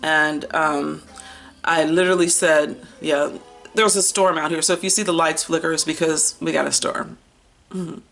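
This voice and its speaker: Bright-voiced American woman, casual and expressive. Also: sits low, moderate pace, creaky, faint music behind. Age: early 50s. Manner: expressive, slangy, playful, rambling. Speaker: book vlogger. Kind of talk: casual chatty book haul and review.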